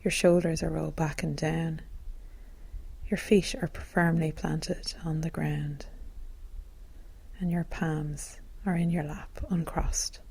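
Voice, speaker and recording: A woman meditation teacher speaks in a low, mellow voice with a husky, breathy edge. She guides the listener gently and calmly, in soothing phrases meant for relaxation. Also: slow pace.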